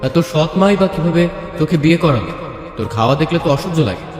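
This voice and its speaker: A man's voice: fairly high pitch, very animated, fast. A man playing a curious, blunt young college friend in an audio story, teasing in colloquial speech. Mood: incredulous. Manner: colloquial, nosy. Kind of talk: Bengali audio story acting. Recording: echoey long reverb, music bed.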